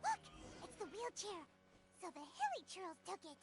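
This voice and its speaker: A woman voicing a tiny excitable fairy companion in a video game, squeaky, childlike and perky. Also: moderate pace, loud music behind the voice, heavily acted. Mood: excited.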